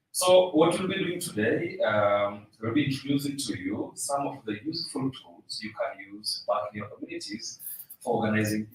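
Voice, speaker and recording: A man with a Tanzanian accent introducing a presentation in an informal way. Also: mid-range voice. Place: conference room. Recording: very echoey room, distant mic.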